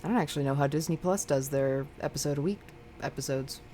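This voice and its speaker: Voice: medium pitch, fast, dark tone. An American streamer of unclear sex, giggly and easily sidetracked, chatting casually and reading game text aloud. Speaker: livestream host playing a game. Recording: clearly noisy background.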